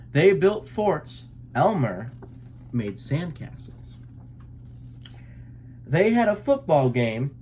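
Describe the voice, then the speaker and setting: A man reading a picture book aloud as a storyteller, with theatrical, deliberate narration. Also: medium pitch, a little mellow, a little hiss or hum.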